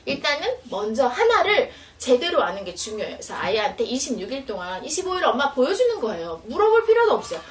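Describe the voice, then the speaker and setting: Female educator, higher-pitched, instructive and animated, demonstrating in an echoey room with faint noise. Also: fast talker, few pauses. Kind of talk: explanatory product demonstration for parents. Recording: roomy, distant mic, faint noise.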